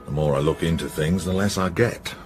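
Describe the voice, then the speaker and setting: A man playing a gruff, baffled but chummy English police detective in a radio drama. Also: low-pitched, fairly quick, slight background noise, natural voice. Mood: baffled, teasing.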